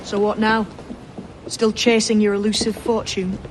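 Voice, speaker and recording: A woman's voice: mid-range voice, flat delivery, unhurried, close to an everyday voice. Woman acting a shrewd, sardonic pirate, noisy backdrop. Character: tough, shrewd female pirate, sardonic and principled. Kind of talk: video game cutscene acting.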